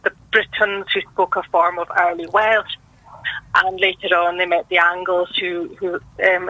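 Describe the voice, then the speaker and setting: A Scottish woman, a guest on a radio interview, explaining in a measured, patient way. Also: fairly low pitch, sharp tone, no room sound, lo-fi sound. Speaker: guest from a language board.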